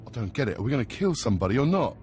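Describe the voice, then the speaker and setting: A man plays a dim sidekick among Sith trainees in a game cutscene. His medium-pitched voice is plain-spoken and eager, over faint ambience. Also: very animated, racing, a little mellow, slight background noise, close to an everyday voice. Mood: confused. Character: dim, eager male thug acolyte sidekick. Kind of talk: video game cutscene acting.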